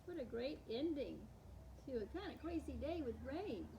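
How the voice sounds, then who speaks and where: Female storytime reader, high pitch, unhurried and expressive, addressing young children, with clear background noise. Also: poor audio. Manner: animated, acts out words with slowed and varied delivery. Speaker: storytime host. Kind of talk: expressive read-aloud of picture books for young children.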